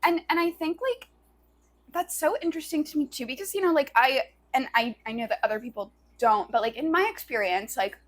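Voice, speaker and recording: A woman's voice: sits high, fairly quick. A woman co-hosting a podcast in casual chat, slangy and agreeable, with "like" scattered through her sentences. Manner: slangy, agreeable, filler-heavy "like". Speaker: podcast co-host.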